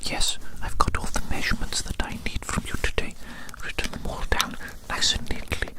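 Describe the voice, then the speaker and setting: Soothing whispered ASMR doctor roleplay. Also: moderate pace. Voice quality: breathy, unvoiced whisper. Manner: soothing, repetitive, murmuring phrases over and over. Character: fussy, fascinated doctor studying a little creature. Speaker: ASMR performer playing a doctor measuring a specimen.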